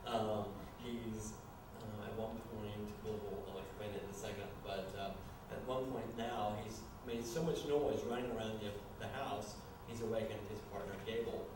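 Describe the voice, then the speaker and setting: Male live narrator, low-pitched, halting and explanatory, recorded far off in a very echoey, noisy room. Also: steady tone. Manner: halting, explanatory, apologetic asides. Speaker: narrator reading stage directions at a staged screenplay reading. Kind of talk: informal live narration of scene action. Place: live staged reading in a reverberant room.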